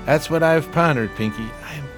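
A man's voice: fairly high pitch, a little fast, over music, close to an everyday voice. An actor playing an eloquent, melancholy homeless man of 1920s New York in florid radio-theater style. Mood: stirred, resolute. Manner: florid, grandiloquent, theatrical phrasing.

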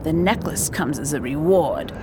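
A woman's voice: low-pitched, expressive, moderate pace, noisy background. A woman playing a grave informant in a radio drama, recounting a villain's scheme. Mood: explaining.

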